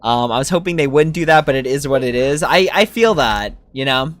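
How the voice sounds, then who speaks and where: A man's voice: mid-range voice, expressive, brisk, no room sound. A man chatting casually and musing as he streams a video game. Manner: informal, musing. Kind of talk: casual chat commentary on gameplay.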